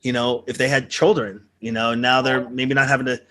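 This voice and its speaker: A man's voice: mid-range voice, flat delivery, a little fast, pushed and bright. Rambling male interview host. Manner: rambling, many fillers. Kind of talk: casual interview chat.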